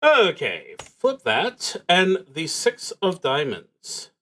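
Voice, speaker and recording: A man thinking aloud as he narrates a solo tabletop roleplaying game, in a halting, musing, wry way. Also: high-pitched, huge pitch swings, sharp tone.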